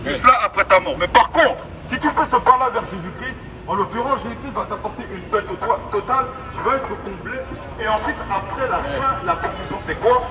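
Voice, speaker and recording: A man with a high voice preaches on the street through a megaphone. His delivery is emphatic and repetitive, urging listeners on. The sound is distant, with clear outdoor traffic noise around him. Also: lively intonation, brisk, a crowd in the background. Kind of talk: evangelistic street sermon.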